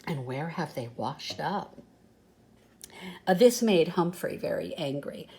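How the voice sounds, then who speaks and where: An older woman reads a children's story aloud. Her voice is soft and mellow, and she takes her time, adding expression and small conversational asides. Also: deep voice, animated, slow, a little hiss or hum. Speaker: storybook reader.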